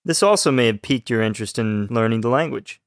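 Young texan guy learning along on a podcast, friendly even voice, dry close sound. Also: medium pitch, fast talker, no room sound. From Texas, USA. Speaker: co-host, learner. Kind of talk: scripted podcast lesson.

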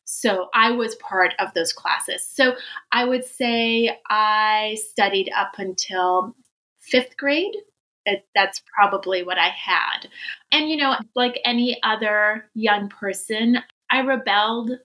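A woman's voice: medium pitch, slow pace, bright, forceful tone, low-quality recording. A woman born in Iran with an American accent, a cookbook author chatting as an interview guest and laughing often. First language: Persian. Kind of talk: casual interview.

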